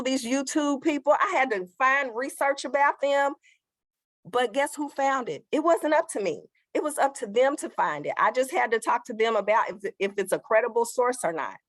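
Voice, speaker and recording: An enthusiastic woman teacher addresses a community forum. She speaks in a mid pitch and repeats words to stress them. The sound is dry, with no room echo. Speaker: teacher presenting student work.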